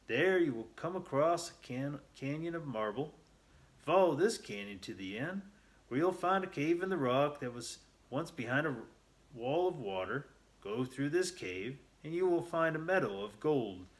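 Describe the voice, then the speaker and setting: A man's voice: a little high, expressive, normal speed, pitched above the performer's own voice, lightly acted. A man voicing a grim old gold miner in a storybook, with an ominous, commanding, emphatic delivery. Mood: grave, urgent. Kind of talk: storybook character voice.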